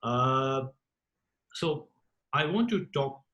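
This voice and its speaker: A man acts as host of an online group call. He speaks informally as he moderates, with a middle-range pitch for a man. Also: expressive, extremely slow, a bit bright, muffled recording.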